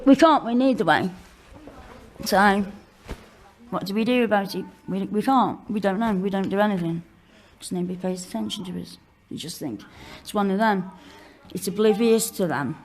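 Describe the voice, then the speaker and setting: A woman's voice: mid-range voice, expressive, normal speed, darkish tone. A woman giving candid, informal testimony in a blunt, colloquial way. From Newcastle-under-Lyme, England. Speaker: interviewee telling own story of homelessness.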